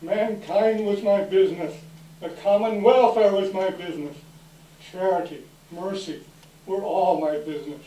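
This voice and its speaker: A man's voice: sits high, a little slow, mellow. A man playing a mournful, stern ghost in a solemn, ominous, declamatory stage reading. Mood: anguished, forceful. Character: mournful, stern male ghost of a dead business partner. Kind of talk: readers theater stage reading of a classic story. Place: indoor live event room. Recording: echoey room, distant mic, background noise.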